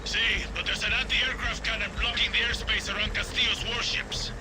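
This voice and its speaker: A man's voice: sits high, fairly even intonation, brisk, lightly acted. An actor playing a flamboyant, grandiose guerrilla man, theatrical and boastful in a video game. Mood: wry. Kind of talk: dramatic video game acting. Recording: loud music and vehicle noise behind.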